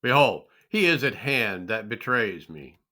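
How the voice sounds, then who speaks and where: A man's voice: lowish voice, big pitch swings, a little slow, bright, forceful tone, full character voice. A man voicing a grave, tender and sorrowful holy teacher in a dramatic scripture reading, solemn and drawn out. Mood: weary resolve.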